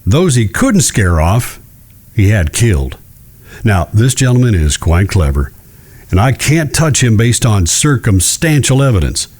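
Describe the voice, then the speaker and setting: An actor playing a shrewd, courteous judge who speaks in a measured, genteel way in a western audio drama. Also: male voice, fairly low pitch, sing-song, a little mellow. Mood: measured, persuasive. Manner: measured, genteel, deliberate with careful pauses. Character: shrewd, courteous Old West male judge.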